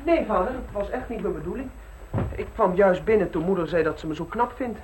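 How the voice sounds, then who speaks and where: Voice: mid-range voice, fairly quick, darkish tone, a little hiss or hum, close to an everyday voice, low-quality recording. A performer playing a polite, sheepish, obedient upper-class youngster in an old radio play.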